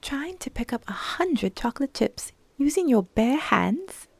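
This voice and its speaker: Bubbly coaxing young woman, lively and bouncy pitch, read by a female storyteller. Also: a little slow, creaky, dry recording, heavily acted. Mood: playful. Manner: teasing, excitable, coaxing.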